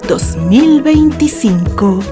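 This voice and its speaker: A woman with a Mexican accent narrating a story in an expressive, deliberate, theatrical way, like a dramatic audiobook. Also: a little high, music in the background. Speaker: author reading fiction aloud for own channel.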